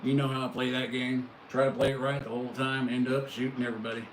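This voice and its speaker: A man's voice: mid-range voice, level tone, fast. A middle-aged man hosting a casual live stream, chatting with viewers and reacting to their comments with amused disbelief. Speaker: livestream host. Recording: echoey room, faint background noise.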